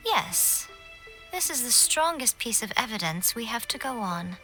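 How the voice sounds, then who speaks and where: A woman playing a sly, poised shrine priestess in a video game, speaking in a composed, teasing, deliberate way. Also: on the high side, sing-song, over music, rough recording. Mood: analytical. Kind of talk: video game cutscene dialogue.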